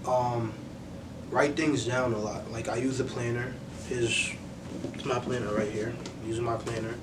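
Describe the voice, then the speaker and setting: A man's voice: steady tone, normal speed, distant mic. Young man in a noisy, roomy interview. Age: young adult. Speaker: interview guest, music A&R. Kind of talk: casual sit-down interview. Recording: roomy, off-mic, noisy with low rumble.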